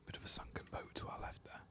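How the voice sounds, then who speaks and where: A man giving gentle, unhurried ASMR game commentary, whispering and murmuring to himself. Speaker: streamer, game player.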